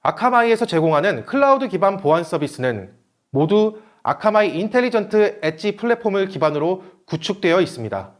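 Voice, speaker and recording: A man's voice: sits high, very animated, fairly quick. A man giving a scripted technical presentation in a formal, read-out manner, as a seminar presenter.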